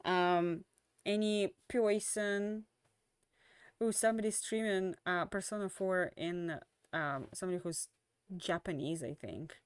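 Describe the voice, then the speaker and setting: A woman's voice: on the low side, unhurried, clean tone, dry recording. Tired woman streamer with a fading voice. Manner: rambling, many fillers and restarts. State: losing her voice. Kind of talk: casual end-of-stream chat.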